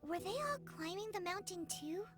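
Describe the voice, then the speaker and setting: A woman voicing a tiny, childlike, curious fairy companion with a squeaky voice in an anime-style video game. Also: lively intonation, moderate pace. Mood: worried, urgent. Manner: speaks of herself in third person. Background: game music.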